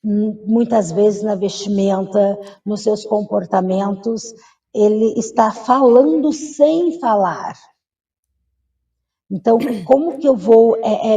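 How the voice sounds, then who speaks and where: A woman's voice: a little high, mellow, recorded from a distance. A woman speaking as a guest expert, explaining patiently with rhetorical questions and hesitant fillers. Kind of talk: studio discussion.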